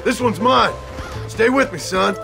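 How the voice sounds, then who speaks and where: A gravelly Western rancher father. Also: high-pitched, a little slow. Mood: instructing. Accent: American, rural Western. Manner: steady, terse, folksy. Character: gruff, patient rancher father of the Old West. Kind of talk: video game acting. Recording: music behind the dialogue.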